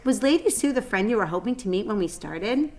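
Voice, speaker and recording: A woman's voice: mid-range voice, lively intonation, fairly quick, a little mellow, natural voice. Woman reading as eager, curious storybook boy. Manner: earnest, curious. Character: Danny, eager wide-eyed young boy. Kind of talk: children's storybook read-aloud.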